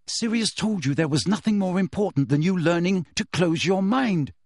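A man's voice: sits high, lively intonation, normal speed, no echo, clean studio sound, pitched above the performer's own voice. A man voicing an earnest, bossy teenage witch girl in an audiobook, with an urgent, anxious manner. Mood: fierce, worried. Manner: urgent, pleading, fierce. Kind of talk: audiobook character voice.

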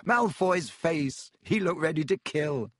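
A man voicing a cheeky, excitable schoolboy in an audiobook. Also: a little high, slow pace, dry recording, clean studio sound, pitched above the performer's own voice. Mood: gleeful. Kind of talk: audiobook character voice.

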